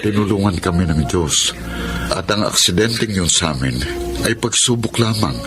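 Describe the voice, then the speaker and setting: A deep-voiced, devout man, played by an actor offers earnest reassurance in a radio drama. Clear music plays under his low voice. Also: normal speed, natural voice. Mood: earnest, reassuring. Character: devout, reassuring younger brother or brother-in-law. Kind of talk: Tagalog radio drama acting.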